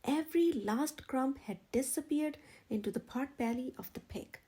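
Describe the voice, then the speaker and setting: A woman narrating a children's storybook in an expressive, measured way. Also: sits high, slightly rough. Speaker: children's read-aloud channel narrator.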